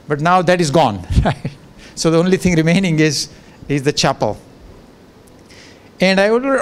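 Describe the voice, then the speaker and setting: An older man whose first language is Gujarati gives a relaxed, joking guest lecture into a handheld microphone in a large lecture room, with many fillers and restarts. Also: high voice. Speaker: professor giving a guest lecture. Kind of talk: informal lecture with asides.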